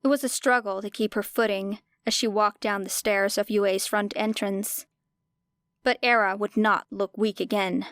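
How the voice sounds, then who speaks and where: A woman reads scripted fiction as an audiobook narrator. Her voice sits at a medium pitch, and the recording is dry with very little room sound. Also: fairly even intonation, moderate pace, a bit bright, dry recording.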